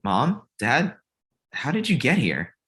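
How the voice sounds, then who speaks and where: Bright, animated, pushy young filmmaker Ryan played by a man. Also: medium pitch, slow, full character voice. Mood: flustered. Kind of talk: comedic script table read.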